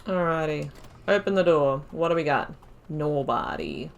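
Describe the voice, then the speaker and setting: A woman giving casual commentary while playing a game, thinking aloud and breaking into excited exclamations. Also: deep voice, measured pace, vocal fry. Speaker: let's play host.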